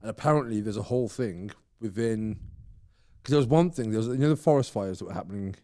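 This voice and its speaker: A man's voice: fairly low pitch, fast, warm tone, dry recording, studio quality. A man co-hosting a podcast, chatting casually, rambling through asides and laughing often. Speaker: podcast co-host. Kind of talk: casual chat.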